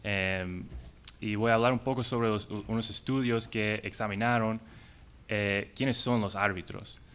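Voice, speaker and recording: A man, an English speaker, giving a conference talk in halting, hesitant Spanish. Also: on the low side, fairly even intonation, a bit bright, clean tone. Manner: halting, hesitant delivery in non-native Spanish. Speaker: conference panelist.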